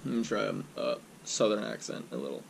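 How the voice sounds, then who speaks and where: A young man talks casually to his camera in a small room. His husky voice moves around a lot in pitch as he mutters in halting, self-critical asides. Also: big pitch swings. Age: young adult. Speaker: amateur video creator doing voice impressions. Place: small home room, indoors.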